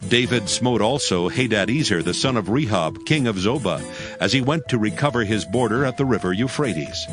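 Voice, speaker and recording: A man narrating scripture from a script in a formal, measured storytelling manner. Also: fairly low pitch, animated. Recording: music behind the voice. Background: music.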